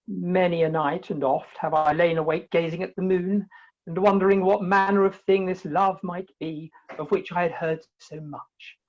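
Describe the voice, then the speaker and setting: Prim, precious young groom played by a woman, low and husky, theatrical comedy delivery, dull lo-fi call audio. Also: a little mellow, natural voice. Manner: mannered, fastidious, precious. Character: prim, bashful, self-righteous young bridegroom, male. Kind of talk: theatrical comic radio play acting. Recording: dull, lo-fi video-call recording.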